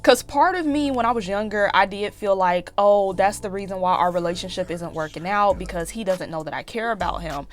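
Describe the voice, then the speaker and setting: A woman in her twenties talking candidly in a casual confessional vlog, slightly raspy from allergies, with lots of fillers and restarts. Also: medium pitch, brisk, few pauses. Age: 20s. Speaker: YouTube vlogger.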